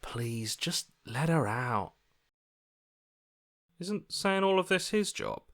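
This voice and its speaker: A man's voice: fairly high pitch, very animated, slow, a bit bright, heavily acted. A man playing an anxious, earnest hero in a video game, questioning and pleading like a voice of conscience. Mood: indignant, pleading. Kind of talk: video game character voice acting.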